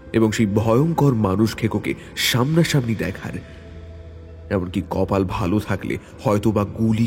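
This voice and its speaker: A man reading a hunting adventure as a dramatic audio story, in a measured, suspenseful way. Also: on the low side, darkish tone. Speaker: story narrator. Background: background music.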